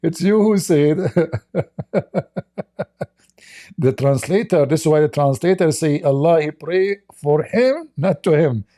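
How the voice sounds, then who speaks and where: Male host, non-native English, sarcastic and laughing, warm mellow tone. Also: on the high side, lively intonation, takes it slowly, pauses often. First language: not English. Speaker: livestream host. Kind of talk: mocking commentary on video clips.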